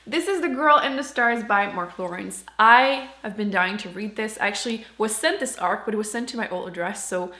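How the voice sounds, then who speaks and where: A woman's voice: medium pitch, a little fast, few pauses, bright, forceful tone. A woman talking casually to camera as a book reviewer, chatty and informal, putting emphasis on key words. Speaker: book reviewer and channel host. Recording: roomy indoor echo.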